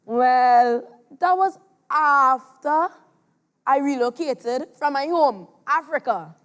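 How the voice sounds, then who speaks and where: A very high-pitched, slow-talking trickster spider, played by a young boy, speaks with a Trinidadian accent. He is boastful and sly, telling his folktale in a dramatic stage style with stretched words. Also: lively intonation, a bit bright, heavily acted. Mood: haughty. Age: child. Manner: exaggerated, dramatic delivery with drawn-out words. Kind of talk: theatrical competition storytelling on stage.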